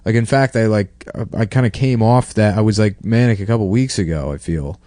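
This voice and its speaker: A man's voice: on the low side, brisk, mellow, dry recording, clean studio sound. A man co-hosting a comedy podcast, casually bantering in a blunt, defensive, profane way.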